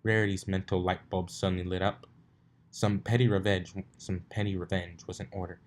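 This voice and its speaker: A man's voice: low-pitched, level tone, a little fast. A man narrating fan fiction aloud, now and then stumbling and rereading phrases. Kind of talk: story narration read aloud.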